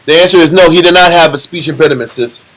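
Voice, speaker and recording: A middle-aged man leading a Bible study, teaching in an animated, preachy way and often repeating himself. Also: a little high, quick pace, low-quality recording.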